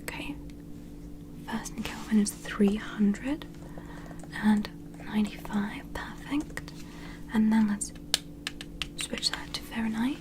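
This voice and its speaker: A woman playing a gentle, attentive alien medical examiner in an ASMR roleplay, whispering slowly and soothingly in a breathy, reassuring way. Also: mid-range voice, natural voice. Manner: slow, soothing, reassuring, procedural.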